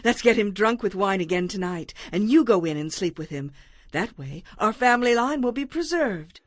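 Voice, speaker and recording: An actress playing a resolute, scheming young daughter in a Bible audio drama, speaking persuasively and conspiratorially. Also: female voice, medium pitch, expressive, normal speed, dry recording, clean studio sound, natural voice.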